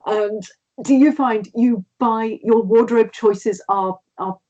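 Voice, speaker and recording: A woman's voice: fairly high pitch, takes it slowly, dark tone, muffled recording. A woman author from Britain, a guest in a casual conversation, speaking thoughtfully as she searches for words.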